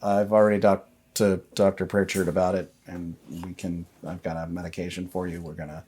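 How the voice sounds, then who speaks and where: A man's voice: sits low, little pitch movement, fairly quick, darkish tone, breathy edge. A man playing a worried, stern but loving father of a teenage girl in a tabletop game, reasoning hesitantly. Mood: reassuring, evasive.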